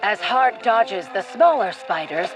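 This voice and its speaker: A woman's voice: mid-range voice, lively intonation, measured pace, music in the background. A woman narrating an adventure radio play in a dramatic, scripted storytelling style.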